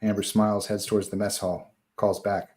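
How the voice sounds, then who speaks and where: A man's voice: on the low side, level tone, moderate pace, darkish tone. A man narrating stage directions at a live table read of a horror screenplay, in scripted narration style.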